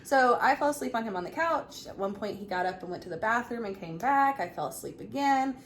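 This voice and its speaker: A woman in her thirties telling a personal story in a casual, chatty, animated way. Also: fairly high pitch, brisk. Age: 30s. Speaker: vlog co-host. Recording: clearly noisy room ambience.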